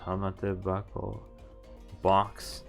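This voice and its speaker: A man with a fairly low voice streams a game. He reads dialogue aloud and comments casually as he goes, informal and sweary, with music behind him. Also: completely flat delivery, drawn-out words, creaky.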